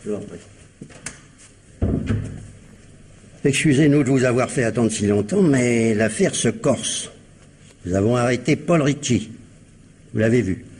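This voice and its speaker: An actor playing a sceptical, sardonic police inspector who talks colloquially in a French radio crime drama. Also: male voice, medium pitch, darkish tone, slight background noise, lightly acted. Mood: sly, insinuating. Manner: colloquial, mocking, drawn-out phrasing.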